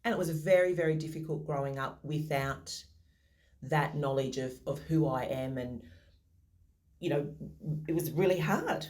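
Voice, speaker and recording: A woman's voice: low voice, moderate pace, long pauses, a little mellow. A modest, thoughtful woman answering interview questions over a video call. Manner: modest, thoughtful, some restarts.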